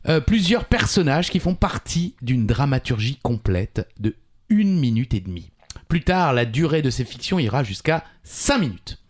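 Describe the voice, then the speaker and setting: A man's voice: mid-range voice, very animated, moderate pace. A man co-hosting a quiz podcast, chatting casually and reading out trivia with a playful, teasing touch.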